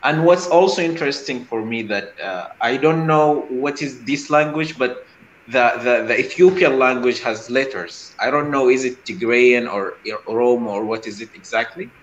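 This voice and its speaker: A man from Sudan whose first language is Arabic, speaking in a casual discussion in a halting, earnest way with many restarts. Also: mid-range voice, highs cut off. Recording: echoey room, faint noise.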